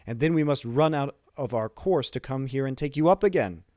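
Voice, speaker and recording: A man's voice: medium pitch, lively intonation, brisk, a little mellow, no echo, clean studio sound, voice pushed up, lightly acted. A man voicing an old ship's captain, strict but loyal, in emphatic audiobook dialogue. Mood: resolute, troubled. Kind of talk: audiobook character dialogue, literary.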